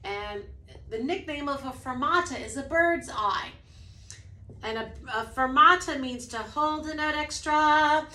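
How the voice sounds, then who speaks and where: A woman's voice: measured pace, sharp tone, reverberant. A woman who teaches music to preschoolers, talking through a lesson casually and breaking into short sung examples. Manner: demonstrates by singing snippets, then speaks casually; says she has a low voice.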